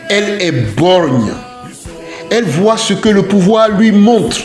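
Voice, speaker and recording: A man's voice: sits high, big pitch swings, slow. A man from Côte d'Ivoire delivers scripted opinion commentary in an emphatic, declarative way, repeating phrases in lists. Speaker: civic commentator. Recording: roomy echoing voice track with music. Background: music.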